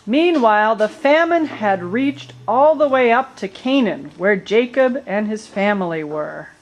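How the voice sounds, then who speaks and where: A woman's voice: fairly high pitch, animated, measured pace. A woman serves as narrator for a puppet show. She tells the story in a colloquial storyteller's way, dropping in little asides as she goes.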